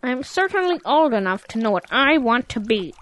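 A woman's voice: a little high, big pitch swings, moderate pace, a bit bright. A woman voicing a naive, eager young boy calf in an audio drama, childlike and pleading. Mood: curious, amazed.

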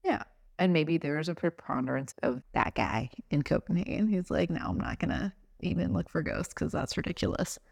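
American woman on a podcast, creaky voice, thinking out loud, really dry sound. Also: low voice, fairly quick, no room sound. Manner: reflective, thinking aloud. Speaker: podcast co-host. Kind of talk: casual conversational discussion.

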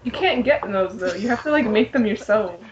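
A woman co-hosting a podcast, chatting casually and slangily in an animated fan style. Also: medium pitch, moderate pace, lo-fi sound, a loud crowd. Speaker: podcast co-host. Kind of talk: casual group chat about pop fandom.

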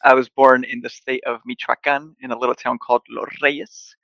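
A man's voice: mid-range voice, little pitch movement, normal speed, gravelly, dry recording, lo-fi sound. A young man from Mexico, a guest on a podcast, talking in a friendly, joking way over a video call from home. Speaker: podcast guest, agency founder. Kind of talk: casual interview. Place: home, recording remotely.

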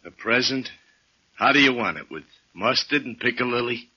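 An actor playing a menacing hired gunman in a 1940s radio drama, slow and mocking, on a dull old recording. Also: medium pitch, expressive, very noisy, close to an everyday voice.

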